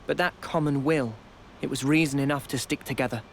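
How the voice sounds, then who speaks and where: A man's voice: mid-range voice, steady tone, fairly quick, slight background noise, close to an everyday voice. A man playing an earnest, thoughtful young soldier in a video game cutscene, with a sincere, pensive delivery. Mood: reflective. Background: game soundtrack music.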